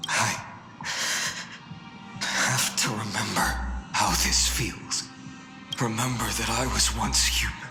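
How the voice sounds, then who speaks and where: An actor playing a weary, resigned deliverer in a video game, worn down by countless doomed cycles and speaking gravely. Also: male voice, lowish voice, steady tone, slow pace, long pauses. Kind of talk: dramatic video game voice acting. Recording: reverberant, echoey hall sound. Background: faint music.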